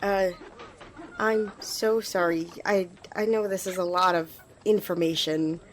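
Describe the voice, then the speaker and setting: A woman's voice: lowish voice, fairly even intonation, a little slow, slight background noise, close to an everyday voice. A woman playing an eager, apologetic young squire in an ASMR fantasy roleplay, rambling and stammering as she speaks to the listener. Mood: sheepish, flustered. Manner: rambling, hesitant, stammering, giggly. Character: eager, flustered young female magic-wielding squire, apologetic.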